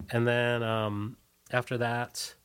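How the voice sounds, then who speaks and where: A man's voice: medium pitch, level tone, slow pace, fairly bright tone, smooth. A middle-aged man talking casually as an interview guest, hesitant and meandering, often trailing off. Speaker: interview guest, musician and advertising copywriter.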